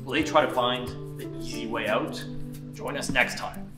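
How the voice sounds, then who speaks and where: A man's voice: medium pitch, moderate pace, close to an everyday voice. A man playing a brash, self-important TV hidden-camera show host with an emphatic announcer manner. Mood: presenting. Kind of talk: parody TV presenting. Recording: roomy, distant mic, music behind.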